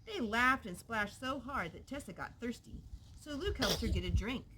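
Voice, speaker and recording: A woman's voice: a little high, animated, moderate pace, bright, forceful tone. A woman reading a picture book aloud expressively to a young child in a noisy recording with clicks.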